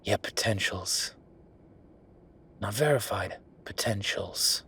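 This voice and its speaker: An exasperated werewolf boyfriend played by a man in an audio roleplay, with a low-pitched, tense voice. He vents bitterly, swearing and sarcastic about his job. There is clear background noise behind him. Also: takes it slowly, pushed and bright, exaggerated voice. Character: angry, exasperated male werewolf boyfriend, bitter office worker. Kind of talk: dramatic boyfriend roleplay monologue.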